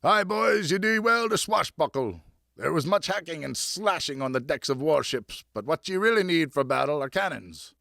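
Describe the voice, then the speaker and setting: A crusty old seafarer from a storybook, acted out by a male narrator, pitched up and full of hearty goading. He talks in salty nautical dialect with great enthusiasm, in a dry, close recording. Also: lively intonation, moderate pace, vocal fry, pushed and bright, dry recording, heavily acted.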